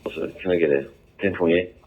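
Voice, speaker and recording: A man's voice: low-pitched, steady tone, quick pace, husky. An American man working as a police officer, speaking over the radio and spelling out codes with small hesitations. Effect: police radio transmission. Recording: narrow phone-like police scanner radio.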